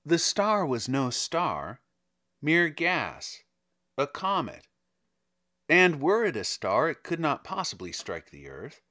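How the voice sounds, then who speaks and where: A man narrates a literary story in a solemn, theatrical manner. His voice sits a little high for a man and moves widely in pitch. He takes his time, weighting his phrases with deliberate emphasis. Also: huge pitch swings, sharp tone. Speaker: audiobook reader.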